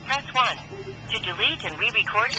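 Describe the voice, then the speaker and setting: High, lively automated voicemail voice. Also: male voice. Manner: clipped, measured menu prompts. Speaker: automated voicemail system prompt. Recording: voicemail prompt over a noisy phone line.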